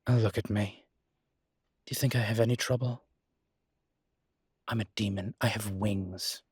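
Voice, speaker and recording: A man playing a suave, proud incubus demon in a roleplay audio drama, speaking in a husky voice with wry, articulate, emphatic phrasing. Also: lowish voice, fairly even intonation, unhurried, darkish tone, no room sound, studio quality. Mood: indignant, protective. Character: suave adult male incubus demon, proud, wry, protective of his kind. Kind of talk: roleplay audio drama, intimate conversational monologue.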